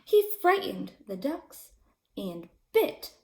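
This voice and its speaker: A woman's voice: high-pitched, sing-song, slow, mellow, reverberant. A woman reading a storybook aloud to young children like a teacher, with simple, emphatic phrasing.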